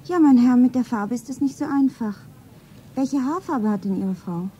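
Radio-play shopgirl, young, modest and dreamy; very mellow, husky-soft voice. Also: a little high, lively intonation, moderate pace, muffled recording. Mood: bashful, polite. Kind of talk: radio play acting, self-narration.

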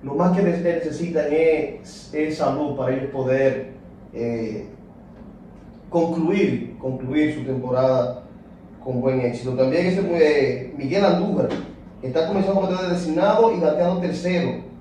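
A Dominican man hosts a casual sports talk show in a slightly high, mellow voice, leaning on each phrase with emphasis. He sits away from the mic, and the room around him rings with echo. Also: a little slow, slight background noise. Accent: Dominican Spanish. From Dominican Republic. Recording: echoey room picked up from a distance.

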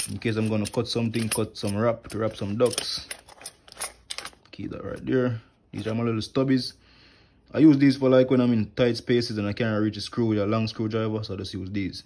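A man who works as an HVAC technician, showing his tools in a relaxed home vlog and filming his hands with a phone. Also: on the low side, creaky, a little hiss or hum. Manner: relaxed, plain talk with many "like" and "right here". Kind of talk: casual show-and-tell vlog. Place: indoors at home, wooden floor. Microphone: phone camera held close, filming his hands.

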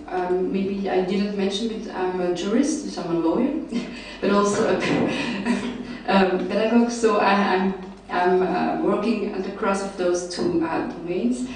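A woman's voice: medium pitch, darkish tone, a little hiss or hum. A young woman from Belgium presenting her research at a panel table, with many fillers and restarts. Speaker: researcher presenting at a summer school. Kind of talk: academic conference presentation. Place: large lecture hall, seated at a panel table. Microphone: table mic, recorded by a far camera. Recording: very echoey hall, voice far from the mic.

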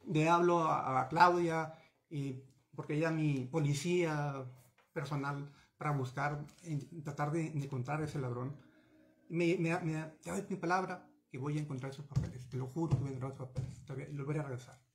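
Un hombre de unos cuarenta años, de México, cuenta por videollamada desde un pequeño despacho una historia personal, de forma animada, gesticulando y con muchos titubeos y frases reiniciadas. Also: male voice, a little high, normal speed, slight background noise. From Mexico. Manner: animated, gesturing, many restarts and fillers. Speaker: interviewee. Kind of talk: recounting a personal story. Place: small home office with bookshelves. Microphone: video call webcam.

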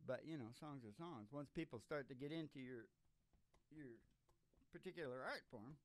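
A man's voice: medium pitch, normal speed. An older man bantering casually and irreverently on stage as a music show host, teasing as he talks. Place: small curtained studio stage. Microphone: stand microphone. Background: acoustic guitar.

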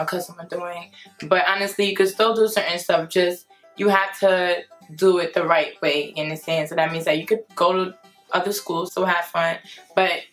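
A woman's voice: lowish voice, fairly bright tone. A young woman from Brooklyn, a nursing student and resident assistant, giving earnest advice in an interview. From Brooklyn, New York. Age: young adult. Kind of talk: casual interview answers. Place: indoors before a plain grey backdrop.

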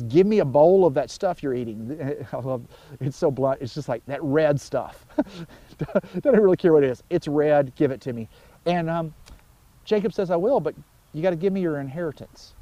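A man in his forties, a pastor giving an informal, conversational sermon outdoors, telling stories with emphatic pauses. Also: on the high side, mellow, no echo. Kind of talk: informal sermon, explaining scripture. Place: outdoors by a wooded pond.

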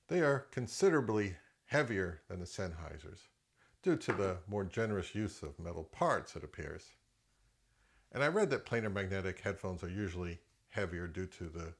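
A man's voice: fairly low pitch, a little hiss or hum. A middle-aged man giving a casual, opinionated product review as an audio gear reviewer, in a conversational way.

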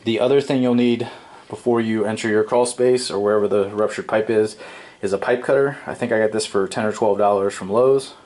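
A man talking through a home plumbing repair step by step at his workbench, relaxed and explanatory, often pausing with "um" and correcting himself. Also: fairly low pitch, a little hiss or hum. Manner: relaxed and explanatory, with frequent ums and self-corrections. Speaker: DIY home repair presenter. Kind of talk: casual hands-on tutorial. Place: indoor workshop at a wooden workbench.

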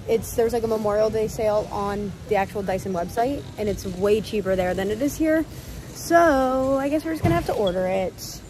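A woman's voice: mid-range voice, background noise. A woman in her twenties chatting casually on a handheld phone vlog, upbeat and full of "like" and "literally". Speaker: vlogger. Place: large warehouse store, indoors. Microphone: phone camera held at arm's length.

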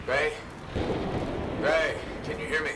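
A man's voice: fairly high pitch, steady tone, slow pace, roomy sound, recorded from a distance. A man playing a gung-ho armored freelancer in a sci-fi game cutscene. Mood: dazed. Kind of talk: dramatic game cutscene acting. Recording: very loud music behind voice.

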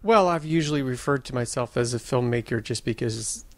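A man from the United States answering interview questions in measured, thoughtful sentences as a filmmaker guest. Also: mid-range voice.

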